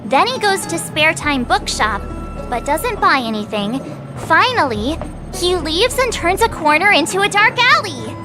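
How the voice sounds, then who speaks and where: Very high, lively girlish detective. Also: unhurried, heavily acted. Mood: playful, thinking aloud. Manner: playfully boastful, expressive. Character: perky young woman detective, playful and self-assured. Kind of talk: video game voice acting. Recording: music under the voice.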